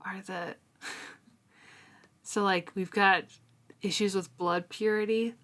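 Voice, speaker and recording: A woman's voice: mid-range voice, slow pace. A woman co-hosting a book club podcast, chatting casually with lots of "um" and "like" as she recaps chapters. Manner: chatty, relaxed, often says um and like.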